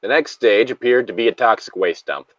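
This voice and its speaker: A man's voice: fairly low pitch, fairly quick, a bit bright, no room sound, lo-fi sound. A man chatting casually and reading aloud with friends, rambling and joking, swearing freely. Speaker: co-reader on a group creepypasta reading. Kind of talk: casual group chat and read-along banter.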